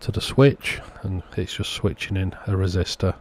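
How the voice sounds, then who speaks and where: A man's voice: sits low, normal speed, a little mellow, slight background noise. A man narrating a hands-on repair walkthrough in a matter-of-fact, understated way, as the host of a repair channel.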